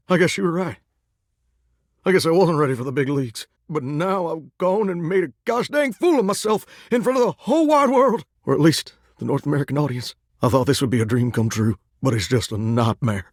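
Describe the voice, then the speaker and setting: Earnest southern drawl dating game dude who's a shower, kinda high, super lively, dry close sound. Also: male voice, moderate pace, dry recording. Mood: crushed, dejected. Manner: folksy drawl full of homespun sayings. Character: folksy, earnest, starry-eyed male shower who loves singing. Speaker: voice actor in a dating sim game. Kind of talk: expressive video game character dialogue.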